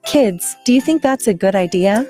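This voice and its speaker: A woman hosts story time for children. She has a soft-edged, mellow voice at a middling pitch and a playful, conversational way of putting questions to her young listeners. Faint music plays behind her. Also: animated, normal speed. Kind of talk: interactive children's story narration.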